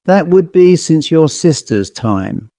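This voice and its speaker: A man's voice: fairly high pitch, sing-song, slow pace, warm tone, dry recording, pitched above the performer's own voice, full character voice. A man voicing a self-possessed, sly fifteen-year-old girl in a storybook, with a poised, confiding manner. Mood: poised, probing.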